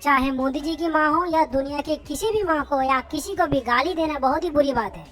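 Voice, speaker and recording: A woman's voice: high-pitched, fairly quick. A woman narrating a political meme video, speaking to her viewers as friends. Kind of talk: news-style narration.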